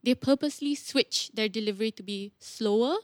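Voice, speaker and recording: Woman on a podcast, kinda high voice, dry close sound, explaining stuff like a coach, encouraging. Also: fairly quick, no room sound. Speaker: podcast guest, public speaking coach. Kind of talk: casual podcast conversation.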